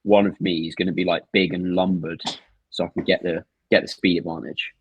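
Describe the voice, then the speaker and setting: A man's voice: low voice, flat delivery, a little fast, slightly rough, lo-fi sound. A man in his twenties co-hosting a podcast, teasing his co-host and reading out listener questions. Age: 20s. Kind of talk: casual chat.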